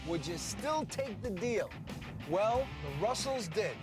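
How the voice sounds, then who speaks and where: A man hosting a home renovation show, with brisk, punchy, salesy narration and chat. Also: high voice, sing-song, music in the background. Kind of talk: upbeat TV host narration and chat.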